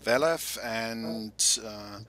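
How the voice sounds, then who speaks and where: A man's voice: fairly low pitch, drawn-out words, fairly bright tone. A middle-aged man hosting an interview over a video call on a headset mic, asking plain questions with frequent fillers. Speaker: interviewer, host.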